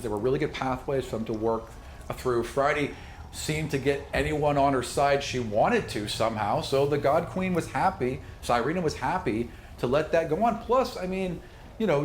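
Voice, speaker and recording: A man narrating a tabletop game as game master in a conversational, emphatic way. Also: medium pitch. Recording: echoey room with background noise.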